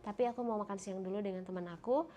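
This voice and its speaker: A woman's voice: mid-range voice, steady tone, rapid-fire, dark tone. Young woman, nasal cold voice, casual handheld vlog in a hotel room, faint ambient noise. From Indonesia. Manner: chatty, talking to viewers, pointing things out. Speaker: vlogger. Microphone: handheld phone camera.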